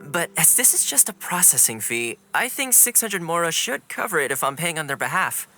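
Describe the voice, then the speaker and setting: An actor playing a friendly, easygoing young man in a video game, smooth-talking, breezy and affable. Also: on the high side, big pitch swings, normal speed, bright, forceful tone, faint music behind, full character voice. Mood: charming.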